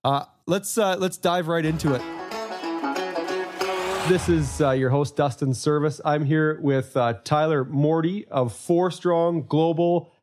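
A man's voice: on the high side, unhurried, over music. Chatty podcast host man in his forties. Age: 40s. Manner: relaxed, chatty, many fillers. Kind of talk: casual interview chat.

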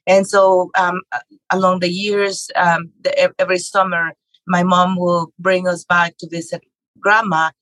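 A woman's voice: on the low side, level tone, a little slow, fairly bright tone, no echo, poor audio. A middle-aged woman from Mexico, a real estate investor and author, telling her story as a podcast guest with many fillers and restarts. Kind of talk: conversational interview.

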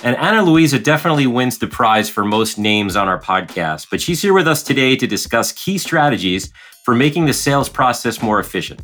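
A man's voice: mid-range voice, sing-song, brisk, a bit bright. A man co-hosting a sales podcast in a friendly, conversational way. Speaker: podcast co-host, sales leader.

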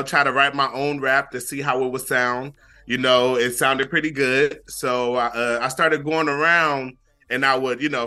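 A man's voice: medium pitch, pushed and bright. A young man from Flint, Michigan, a rapper talking casually as a guest in an interview, laid-back and full of fillers. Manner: laid-back, repeats phrases, many fillers.

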